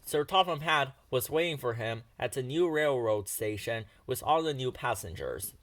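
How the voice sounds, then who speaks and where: A man reads a children's storybook aloud with expressive narration. His voice sits in an ordinary middle pitch, recorded close and dry. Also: normal speed, dry recording. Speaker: storybook reader.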